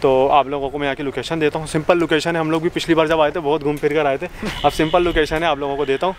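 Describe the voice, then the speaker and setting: A man's voice: a little high, steady tone, fast. A young man, chatting casually as a vlog host and projecting as he walks. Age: young adult. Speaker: vlogger, host. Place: outdoors in an open cattle farm yard. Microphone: camera at arm's length or a few steps away. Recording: noisy open-air farm ambience.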